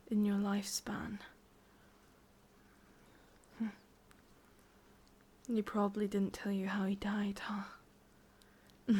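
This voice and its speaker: Breathy whispering English witch, played by a woman. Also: mid-range voice. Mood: coolly confiding. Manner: soft, intimate whispering, teasing and unhurried. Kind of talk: whispered ASMR roleplay.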